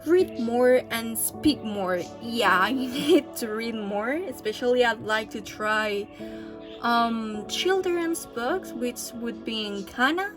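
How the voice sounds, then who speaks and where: A young woman who is not a native English speaker talks slowly and casually, pausing often with fillers and little restarts. Her voice sits a little high. Clear background music runs beneath her speech. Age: young adult. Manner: hesitant, many fillers and restarts, soft laughs. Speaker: language-learning vlogger. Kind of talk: casual vlog talk.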